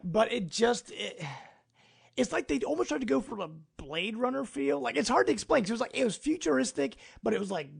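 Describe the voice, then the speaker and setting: A man's voice: very high voice, expressive, brisk, creaky, husky. A man, around his thirties, hosting a casual podcast discussion in a chatty, emphatic way. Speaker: podcast host.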